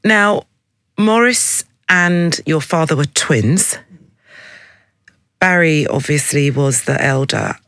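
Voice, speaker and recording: A radio interviewer with a mid-pitched voice, asking questions conversationally. The delivery is chatty and engaged. The sound is very dry, close on a studio microphone. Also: slow, long pauses, a bit bright, dry recording.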